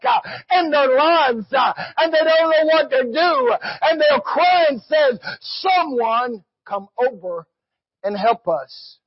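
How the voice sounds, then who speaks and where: A man's voice: very high-pitched, no echo, lo-fi sound. A man preaching an impassioned sermon in a declamatory, strained voice, with rhythmic refrains punctuated by "uh".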